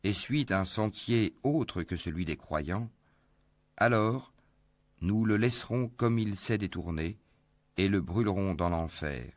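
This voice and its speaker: A man with a very deep voice reads a scripture translation slowly and formally. The sound is close and dry, with no room around it. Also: dry recording. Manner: measured, formal reading. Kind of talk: solemn scripted scripture reading.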